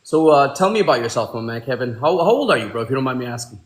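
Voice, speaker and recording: A man's voice: mid-range voice, animated, racing. Man in his 40s from pakistan, chatty livestream host, bro this my man that, echoey room. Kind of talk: casual livestream chat. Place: room at home.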